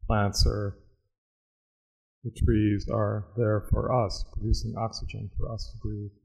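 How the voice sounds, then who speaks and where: Low, gravelly man guiding meditation. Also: monotone, darkish tone, low-quality recording. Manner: slow, measured, with long pauses. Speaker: meditation teacher. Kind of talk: guided meditation, reflective talk.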